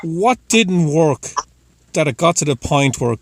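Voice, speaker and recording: Male host interviewing a guest, fairly high voice, dry close sound, short prompting questions. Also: lively intonation, unhurried, no echo. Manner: brief, prompting questions and acknowledgments. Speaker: podcast host.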